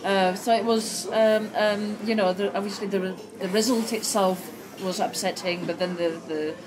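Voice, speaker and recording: A woman's voice: mid-range voice, fairly even intonation, moderate pace, poor audio. Hesitant French-born woman over crowd noise. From France. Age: 30s. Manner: hesitant, many fillers. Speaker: guest, community worker. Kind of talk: casual chat. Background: crowd chatter.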